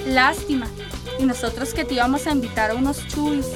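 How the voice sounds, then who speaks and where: An actress playing a cheeky, playful young migrant in a radio drama. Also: female voice, sits high, fairly even intonation, fairly quick, over music, close to an everyday voice.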